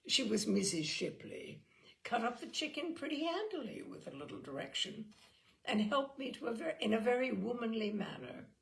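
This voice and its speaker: A woman reading a classic letter aloud as narrator, with expressive, lively storytelling. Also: on the high side, normal speed, creaky.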